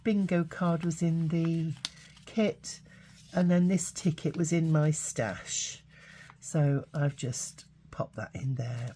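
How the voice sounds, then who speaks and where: A woman with a cold and a hoarse voice, chatting casually through a craft project with frequent ums and uhs. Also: low-pitched, dark tone, slight background noise. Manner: chatty, halting, many fillers. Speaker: craft channel host.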